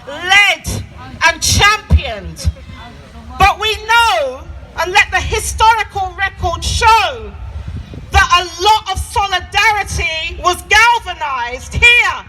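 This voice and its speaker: A woman giving an emphatic, declamatory public address as an activist, phrasing deliberately, outdoors. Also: very high voice, expressive, pushed and bright, a faint crowd, a little hiss or hum, poor audio. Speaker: activist speaker. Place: outdoors at a street gathering.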